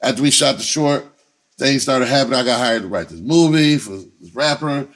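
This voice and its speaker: A man's voice: medium pitch, a little fast, fairly bright tone. A man telling a personal story in casual, profane slang, repeating himself and acting out conversations as he goes. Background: music under the voice.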